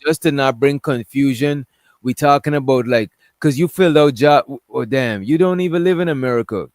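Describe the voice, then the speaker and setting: A man's voice: medium pitch, moderate pace, no room sound, studio quality. A man hosting a casual discussion stream, talking loosely and rambling while he pulls up things on screen. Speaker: stream host.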